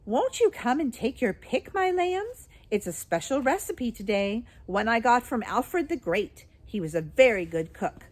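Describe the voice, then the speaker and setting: Squeaky little old shop woman, bossy and gleeful, high voice swooping all over, storybook style. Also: big pitch swings, unhurried, hardly any pauses. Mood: sweet, coaxing. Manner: chattering, exclamatory, swinging from sweet to fierce. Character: tiny ancient shopkeeper woman, gleeful, capricious, domineering.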